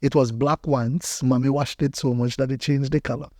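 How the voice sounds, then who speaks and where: A man's voice: mid-range voice, steady tone, normal speed, darkish tone, no room sound, studio quality. A man from Belize with a Belizean accent, a pastor telling personal stories as a podcast guest, emphatically repeating phrases for effect. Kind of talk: personal storytelling in conversation.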